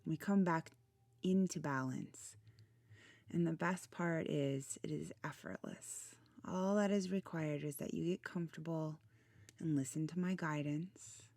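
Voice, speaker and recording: A woman leading a guided relaxation meditation in a soothing, gentle manner, with soft, breathy phrase endings. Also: sits low, measured pace, no echo, clean studio sound. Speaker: meditation guide, channel host.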